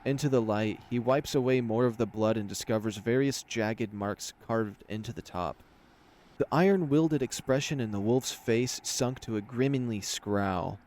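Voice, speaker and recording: A man's voice: medium pitch, a little slow, darkish tone. Man narrating a fantasy visual novel.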